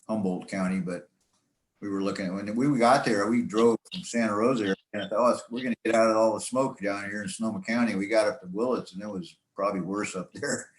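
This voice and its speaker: A man giving an informal club talk about his hobby in a rambling, halting, folksy way. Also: lowish voice, fairly even intonation. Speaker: presenter, amateur radio hobbyist. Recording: echoey room sound.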